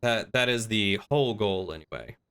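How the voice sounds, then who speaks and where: Bright, forceful-voiced man chatting on stream. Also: fairly low pitch, animated, normal speed, clean tone. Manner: informal explanatory rambling, thinking aloud. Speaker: livestreamer and role-play gamer. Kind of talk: casual livestream chat with viewers.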